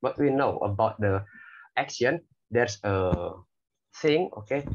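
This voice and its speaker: A man on a class video call asking a question in hesitant second-language English, pausing often with fillers and okays. His voice sits a little low. The call audio is dull and lo-fi. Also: lively intonation. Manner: halting, many fillers and repeated okays. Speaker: class participant.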